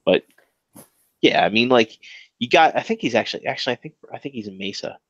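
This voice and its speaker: A man's voice: medium pitch, animated, a little fast, no echo, muffled recording. A man with a Maryland accent co-hosting a podcast, rambling casually with lots of fillers and restarts. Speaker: podcast co-host. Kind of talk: casual chat.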